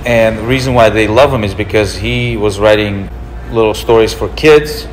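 A man in his thirties from Russia, a restaurant owner giving a relaxed, explanatory documentary interview. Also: on the low side, normal speed, a little hiss or hum, poor audio. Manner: relaxed, explanatory, gestures while talking. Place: indoor room with leather couch.

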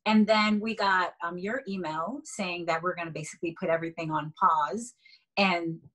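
Bright, husky young woman on a video call. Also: fairly low pitch, steady tone, moderate pace, poor audio. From Haiti. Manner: chatty, fillers, laughs often. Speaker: actor guest. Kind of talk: casual video-call interview. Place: bedroom indoors.

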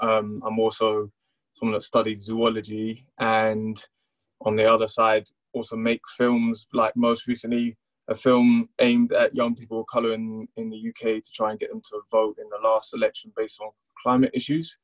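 Man, twenties, panelist, relaxed, hesitant, bedroom, muffled call audio. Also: on the low side. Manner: relaxed, hesitant, with many ums. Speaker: panelist, musician and filmmaker. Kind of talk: self-introduction on a video call. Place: bedroom at home. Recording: dull, lo-fi video call audio.

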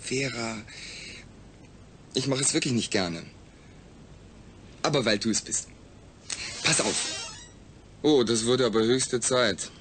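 A defiant astronaut patient in a science-fiction radio play. He sits at a middle pitch and speaks deliberately and articulately. He is proud, distrustful and used to making demands. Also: a bit bright, slight background noise. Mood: earnest. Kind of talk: German science-fiction radio drama acting.

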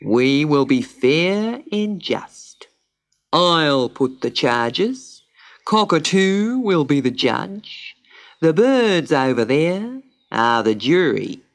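A woman voicing a pompous male pelican prosecutor who speaks slowly and gravely. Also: sits low, big pitch swings, exaggerated voice. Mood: solemn. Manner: slow, solemn, deliberate.

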